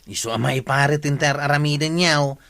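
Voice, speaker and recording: An actor playing an earnest village helper who enforces the rules in an Ilocano comedy radio drama, with an Ilocano accent. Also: male voice, fairly high pitch, normal speed, vocal fry, fairly bright tone, no room sound. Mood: firm.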